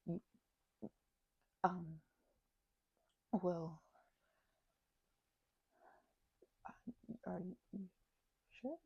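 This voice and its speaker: Woman acting a timid, stammering vampire, husky and creaky. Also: fairly low pitch, dry recording, highs cut off, exaggerated voice. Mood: nervous, hopeful. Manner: stammering, halting, self-effacing, often dropping to a whisper. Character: timid, grateful, nervous female vampire. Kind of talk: intimate ASMR audio roleplay.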